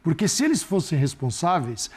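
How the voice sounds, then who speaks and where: Male sports pundit, critical and emphatic, animated delivery. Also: fairly high pitch, moderate pace, slightly rough. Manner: critical, emphatic, repeats words for stress. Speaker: football pundit. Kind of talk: studio panel commentary.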